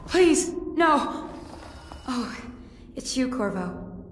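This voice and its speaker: Anxious, gentle woman, echoey. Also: high voice, sing-song, very slow, heavily acted. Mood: frightened relief. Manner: anxious, earnest. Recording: echoey, faint background noise.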